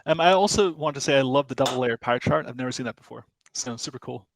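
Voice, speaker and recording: A man's voice: mid-range voice, fast, creaky, slight background noise, rough recording. A man casually commenting as an audience member on a video call. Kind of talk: casual chat.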